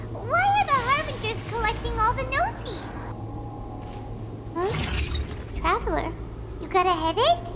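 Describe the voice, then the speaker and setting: A woman's voice: very high-pitched, heavy background noise, heavily acted. A woman voicing a tiny, anxious, chatty fairy girl companion in a video game, with a childlike way of talking. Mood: upset, puzzled, then concerned, encouraging. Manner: childlike, refers to herself in third person.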